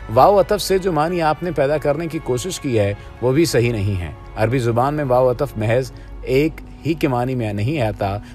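A man reading scripted religious narration in a measured, formal, preaching manner. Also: mid-range voice, a little mellow. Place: voice-over over stock footage with music.

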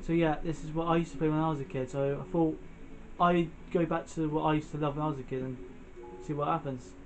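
A man's voice: on the high side, fairly even intonation, quick pace, a little hiss or hum, poor audio. A young man giving casual, off-the-cuff commentary as he plays a video game, often muttering to himself. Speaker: gamer, channel host. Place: indoors, in front of a TV screen. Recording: game music behind the voice. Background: video game music.

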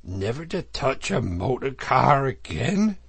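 A man's voice: medium pitch, very animated, slow pace, vocal fry, breathy edge, no room sound, voice pushed up, full character voice. A man voicing a stern, authoritative badger from a storybook, speaking in a solemn, firm, oratorical manner. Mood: scandalized. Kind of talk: storybook character voice.

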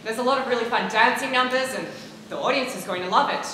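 Harried musical director played by a woman, bright and insistent, live school stage comedy in a reverberant hall. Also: fairly high pitch, fast talker. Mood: exasperated, reassuring. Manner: insistent, trying to keep order. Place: large theater stage, filmed from the audience. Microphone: far camera. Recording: echoey auditorium, faint noise.

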